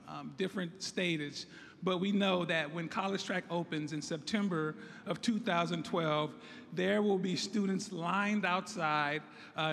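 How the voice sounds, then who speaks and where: A hoarse man from San Francisco, a site director, giving an earnest ceremonial speech that thanks and introduces guests. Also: sits high, a bit bright. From San Francisco, United States. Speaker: site director of a youth college program. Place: large hall at a groundbreaking event. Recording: echoey hall with crowd murmur.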